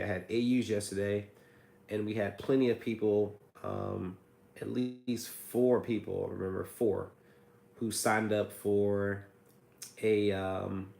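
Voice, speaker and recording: A man with a hoarse, raspy voice from a cold, hosting a casual livestream and answering viewer questions about credit repair. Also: lowish voice, darkish tone, roomy sound. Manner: reads viewer comments aloud, chatty and loose.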